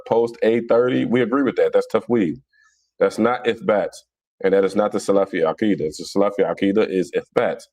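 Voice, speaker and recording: A man with a Philadelphia accent and a fairly low voice, recorded dry and close. He talks off the cuff as a livestream host, in a blunt, slangy, mocking way. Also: darkish tone, no echo. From Philadelphia, United States. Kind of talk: casual live religious debate, answering viewer comments.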